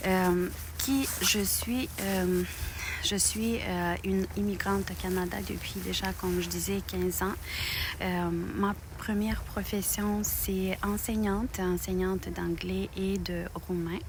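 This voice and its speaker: A middle-aged woman from Moldova, speaking French with a Romanian accent, talks to her channel's viewers in a hesitant way, with many pauses and restarts. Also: mid-range voice, fairly even intonation, background noise. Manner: halting, many fillers and restarts. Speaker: knitting channel host. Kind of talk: personal thank-you video.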